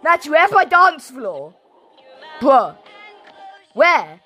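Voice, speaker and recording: A young woman giving excitable running commentary on her gameplay, repeating herself and shouting, her throat sore and coughing. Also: high-pitched, very slow, faint music behind. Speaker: gaming channel host. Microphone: phone.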